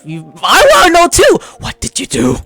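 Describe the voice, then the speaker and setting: A man giving casual, loud, excitable commentary as he plays a game, full of exclamations. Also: very high voice, moderate pace. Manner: excitable, exclamatory, breaks into mock voices. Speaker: gameplay streamer. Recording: loud game music behind voice.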